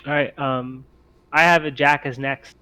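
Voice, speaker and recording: A man's voice: medium pitch, fairly even intonation, unhurried, sharp tone, clean tone. A man acting as game master, narrating and refereeing a tabletop roleplaying game.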